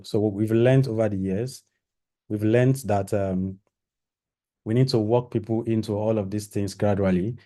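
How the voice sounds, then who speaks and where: A man's voice: fairly low pitch, dark tone. A man giving a live-streamed orientation talk to trainees, rambling and repeating himself with many fillers. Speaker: training program organizer.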